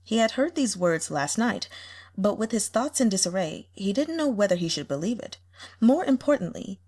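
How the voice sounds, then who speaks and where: A woman reading a fantasy novel aloud as expressive scripted narration, with a slightly husky voice. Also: mid-range voice. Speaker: audiobook reader.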